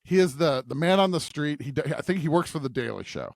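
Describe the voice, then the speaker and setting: A man's voice: on the high side, lively intonation, fast, vocal fry, no room sound. A man hosting a conversational scripture discussion on a podcast, thinking aloud and often checking in with a questioning "right?". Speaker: podcast host.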